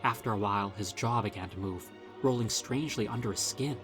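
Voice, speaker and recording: A man reading a scripted first-person horror story as narrator, in a dramatic, measured storytelling manner. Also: lowish voice, music in the background.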